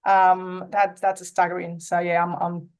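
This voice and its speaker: A woman in her thirties from Argentina with an Argentine accent, a Spanish native speaker, talking as a podcast guest in a casual, earnest way, often pausing with fillers as she searches for words. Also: lowish voice, flat delivery, clean tone. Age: 30s. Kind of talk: casual interview conversation.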